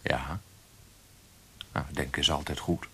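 A man's voice: a bass voice, steady tone, takes it slowly, a bit bright, husky, exaggerated voice. A man acting a genial, self-assured friend in a radio drama, unruffled and dry.

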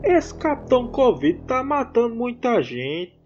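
A woman's voice: a little high, big pitch swings, measured pace, darkish tone, highs cut off, faint music behind. A woman playing a timid, deferential small-town mayor in a radio play, hesitant before a boss. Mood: worried, cautious.

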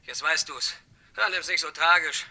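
Callous lover, male, radio crime play. High-pitched, very sharp, impatient, dismissive. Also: normal speed, heavily acted. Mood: scornful. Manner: curt, scornful, impatient. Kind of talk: German radio crime drama acting.

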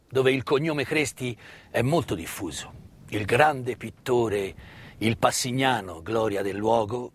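A man delivering scripted theatrical narration of history as a stage storyteller, in a measured way with deliberate pauses. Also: mid-range voice. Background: live instrumental music.

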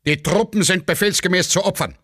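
A man's voice: sits high, brisk, a bit bright, breathy edge, no echo, clean studio sound, pitched above the performer's own voice. A man playing a ruthless, impatient army commander with a clipped, commanding manner. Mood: cold, impatient.

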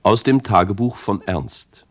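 A male eyewitness in a radio play with a very deep, husky voice. He recounts events in the first person, soberly and factually, as scripted narration. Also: expressive, measured pace. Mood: sober, gripped.

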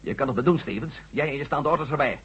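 An actor playing a headstrong, indignant reporter and former astronaut in a radio drama, emphatic and sardonic, with a husky voice. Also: male voice, mid-range voice, lively intonation, fast. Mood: determined. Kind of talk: Dutch radio drama acting. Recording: dull, lo-fi old radio recording.

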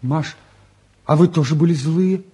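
An actor playing a restless, cheeky and candid teenage son in a 1950s Soviet radio play, talking in an impulsive, colloquial way. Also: male voice, on the high side, a little slow, mellow, breathy edge. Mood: frank, curious.

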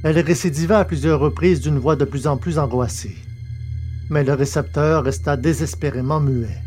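A man narrates a scripted audio drama over a music bed. His voice is on the high side for a man, and his reading is careful and literary. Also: steady tone, normal speed, a little mellow.

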